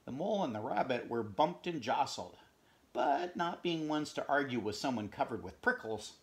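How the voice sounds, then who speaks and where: Middle-aged man reading a picture book aloud. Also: mid-range voice. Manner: expressive, pausing to chat and point at pictures, drops to whispers. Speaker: read-aloud storyteller. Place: home room, indoors.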